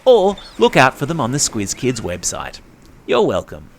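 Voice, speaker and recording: A man's voice: medium pitch, wildly swooping pitch, moderate pace, creaky, music in the background. An Australian man hosting a news podcast for children, reading scripted stories in a chatty, playful way and speaking straight to young listeners. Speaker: podcast host.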